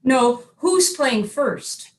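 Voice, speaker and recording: A woman acts out an unflappable baseball manager in a stage comedy routine. The voice is high and very animated, with emphatic, matter-of-fact replies delivered as a comic double act. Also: extremely slow, full character voice. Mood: insistent. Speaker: amateur readers theater performer. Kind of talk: staged comic dialogue reading.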